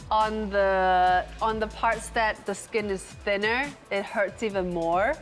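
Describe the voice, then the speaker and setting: A woman hosting a casual chat in a tattoo studio, bubbly and curious, full of quick exclamations. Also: medium pitch, fairly even intonation, faint music behind. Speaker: host.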